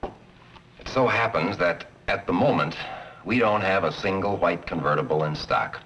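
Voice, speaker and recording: An actor playing a smooth, carefully polite car salesman in a vintage training film. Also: sits low, unhurried, a bit bright, slight background noise, low-quality recording. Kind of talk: 1950s training film acting.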